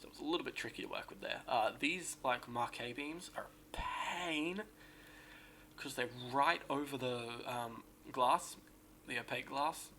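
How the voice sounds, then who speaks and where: A man with a sore, raspy voice giving casual, rambling commentary on a game build and correcting himself as he goes. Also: mid-range voice, measured pace, bright, forceful tone, a little hiss or hum. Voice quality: raspy, gravelly. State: sore, hoarse voice. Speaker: game streamer.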